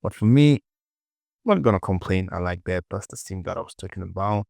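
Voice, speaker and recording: Man, low voice, dry close-miked sound, casual tutorial instructor, clipped step-by-step phrases, repetitions, self-questioning asides. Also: lively intonation, brisk, gravelly, darkish tone, no room sound.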